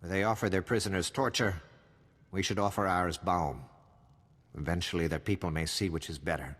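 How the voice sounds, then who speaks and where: An actor playing a solemn, idealistic male freedom fighter in a video game, with a husky, earnest voice. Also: sits low, fairly even intonation, normal speed, a bit bright, in a large hall. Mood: solemn resolve.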